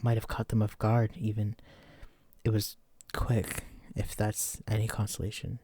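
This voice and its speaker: A man's voice: lowish voice, monotone, measured pace, mellow, husky, no echo. A man playing a wary, protective psychic escapee in an immersive audio drama, speaking directly to a companion. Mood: wary, somber. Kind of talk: immersive roleplay audio drama, addressing the listener.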